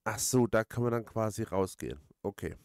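A man giving casual let's play commentary, haltingly thinking aloud and repeating his words. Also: lowish voice, lively intonation, darkish tone, no echo, studio quality. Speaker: let's player. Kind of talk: casual, rambling game commentary.

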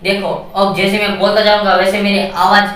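A man's voice: sits high, little pitch movement, moderate pace, a bit bright, recorded from a distance. A young man with a thin, helium-altered voice, presenting casually to camera. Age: young adult. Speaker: video host. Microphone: camera mic a few steps away. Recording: very echoey room, off mic.

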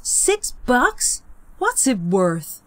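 Bratty seventh-grade boy, played by a female audiobook reader: mocking, brash, raised pitch, dry close sound. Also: wildly swooping pitch, extremely slow, a bit bright, no echo, heavily acted. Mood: sneering.

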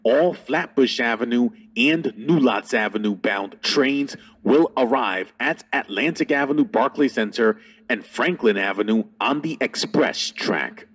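A man reading scripted transit service announcements as an announcer, in a formal, measured manner. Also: medium pitch, slightly rough.

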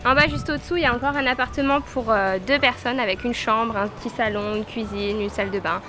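A young woman with a high voice gives an excited, exclamatory tour for a holiday vlog. She records on a handheld camera in echoey villa rooms. Music plays along with her talk. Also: quick pace. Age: 20s. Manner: enthusiastic, exclamatory. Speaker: vlogger. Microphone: handheld camera at arm's length.

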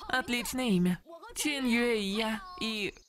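A woman's voice: a little high, unhurried, fairly bright tone. A woman voicing a brash, curious teenage boy in a dubbed fantasy cartoon, chatty and cocky. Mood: eager, friendly. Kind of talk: Russian dub of animated fantasy series.

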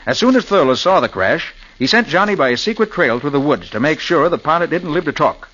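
A man's voice: on the high side, animated, bright, forceful tone. An actor playing a confident, clever male detective explaining a case in a 1940s radio drama. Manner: brisk, explanatory. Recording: dull old radio recording with faint hiss.

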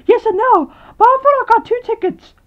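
A woman voicing a chirpy, trusting, excitable best friend in a homemade comedy skit. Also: very high-pitched, fairly quick, dull audio. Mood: eager, cheerful.

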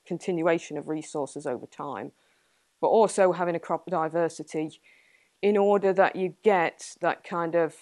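A young Englishwoman with a slightly low voice fields questions in a small meeting room, picked up by a camera at a distance. She thinks out loud, hedges her points and laughs softly between them. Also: no room sound. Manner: thoughtful, hedging, laughs often. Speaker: guest speaker, entomologist. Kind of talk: answering audience questions after a talk.

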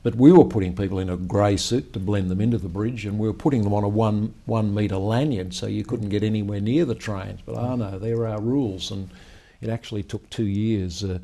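A man, a company founder telling his story in an office interview, opening answers with "well, look" in a measured storytelling manner. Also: on the low side, creaky, mellow. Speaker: company founder and chief executive. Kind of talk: business interview. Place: high-rise office meeting room with city view. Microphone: lapel mic.

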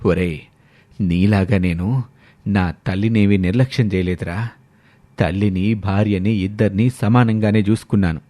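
A man acts out an elderly father in a moral family story. His voice sits low and heavy, and he speaks with reproach, like a grandfather who feels slighted at home. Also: normal speed, mellow, close to an everyday voice. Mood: hurt, indignant.

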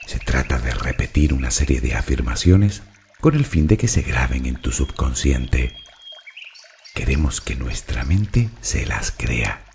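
A man from narrating a guided meditation in a slow, calm, soothing and deliberate way. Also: very deep voice, creaky, a little hiss or hum.

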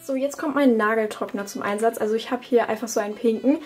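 A woman's voice: on the high side, fast, loud music behind the voice. A young woman from Germany chatting casually as she talks through her routine at her desk at home, with fillers and self-corrections. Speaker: beauty vlogger. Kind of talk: casual tutorial chat.

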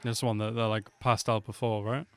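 A man's voice: lowish voice, flat delivery, brisk, fairly bright tone. A man in his twenties giving casual, slangy reaction commentary, swearing freely, close on a desk microphone. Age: 20s. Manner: slangy, laid-back, swearing. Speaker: reaction video host. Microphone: close desk microphone with headset. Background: game trailer audio with music.

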